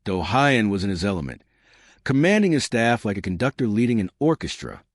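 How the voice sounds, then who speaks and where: A male narrator reads a scripted tale in a low voice. His delivery moves up and down with lively intonation. The sound is dry and close, with no room around it. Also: brisk, no room sound. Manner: lively storytelling, shifting pitch for quoted characters.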